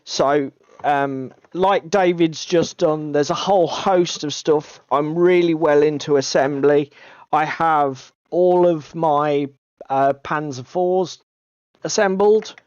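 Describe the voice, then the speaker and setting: Gravelly-voiced man, chatty. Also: fairly high pitch, slow, darkish tone, no echo, dull audio. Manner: chatty, self-deprecating, swears casually. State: gravelly, strained voice. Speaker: co-host of a tabletop hobby stream. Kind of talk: casual chat.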